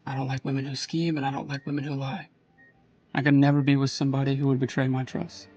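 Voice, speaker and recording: An actor playing a stern, distrustful former lover in an audio drama, cold and cutting in manner. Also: male voice, mid-range voice, little pitch movement, fast, darkish tone, lo-fi sound, faint music behind, close to an everyday voice. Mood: cold, accusing.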